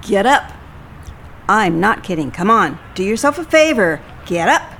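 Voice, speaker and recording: An actress playing a blunt, commanding seventy-one-year-old Army veteran who barks clipped orders in a stage play. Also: female voice, medium pitch, big pitch swings, unhurried, fairly bright tone, a little hiss or hum. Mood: firm, urging. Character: brisk, bossy 71-year-old woman, ex-Army fitness drill-sergeant. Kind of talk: scripted two-person stage comedy-drama.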